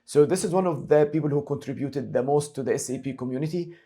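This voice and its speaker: A man narrating a screen-share software tutorial as an instructor, explaining each step methodically and repeating key points. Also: on the high side, fast.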